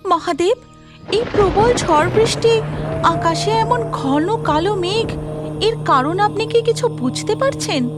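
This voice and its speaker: A woman voicing a gentle, concerned goddess in a mythological cartoon, soft and respectful in her questioning. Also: extremely high pitch, expressive, slow, loud music behind the voice, voice pushed up, heavily acted.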